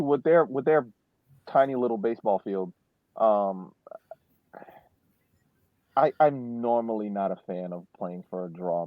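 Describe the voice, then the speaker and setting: A man's voice: deep voice, no echo. Thin, phone-like audio with no room sound carries a man's voice. He is a podcast panelist in casual sports chat, often doubling back on his words.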